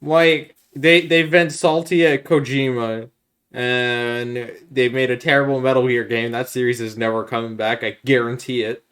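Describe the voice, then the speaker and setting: A man with a mid-pitched voice talks as a podcast co-host. He is informal and opinionated, chatting casually about games. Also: little pitch movement, moderate pace, fairly bright tone, smooth.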